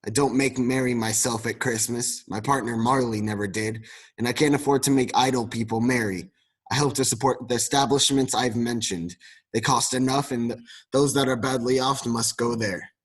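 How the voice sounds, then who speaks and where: A grumpy old miser , acted by a man in a radio play. His middling-pitched voice is slightly rough and husky, and he snaps curtly with open scorn for whoever he addresses. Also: fairly even intonation, normal speed, lightly acted, rough recording. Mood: cold, dismissive. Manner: curt, dismissive, scornful. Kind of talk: amateur radio play acting.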